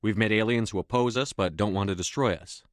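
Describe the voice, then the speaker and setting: A man acting a stern, steady space-navy commander in a video game, with a measured, dry, authoritative delivery. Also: fairly low pitch, no echo, lightly acted. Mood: grave, determined.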